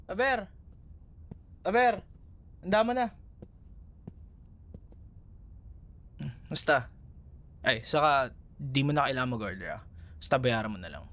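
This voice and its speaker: Man acting a teasing, lively young Filipino ex-boyfriend. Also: on the high side, full character voice. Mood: eager, playful. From Philippines. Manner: teasing, chuckles often, Taglish code-switching. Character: playful, teasing young Filipino ex-boyfriend who runs a cafe. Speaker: roleplay voice performer. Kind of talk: ASMR boyfriend roleplay, casual conversational acting.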